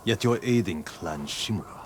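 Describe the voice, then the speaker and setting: Cold proud clan boss, deep voice, disdainful, noisy background, video game. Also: male voice, animated. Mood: contemptuous. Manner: slow, disdainful. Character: proud, embittered clan leader, cold and stubborn. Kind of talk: dramatic video game acting.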